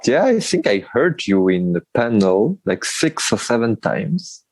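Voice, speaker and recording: A man hosts a podcast and rambles through his welcome, restarting and laughing as he goes. His voice sits at a middling pitch. The sound is dry, like a video call. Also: big pitch swings, a little slow, a little mellow, no echo. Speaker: podcast host. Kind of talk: casual interview chat. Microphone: remote video call.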